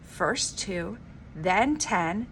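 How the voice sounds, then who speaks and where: A woman reading a children's storybook aloud like a teacher, with an expressive, emphatic delivery. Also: mid-range voice, extremely slow. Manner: dramatic, emphatic delivery with informal asides. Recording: clearly noisy homemade recording.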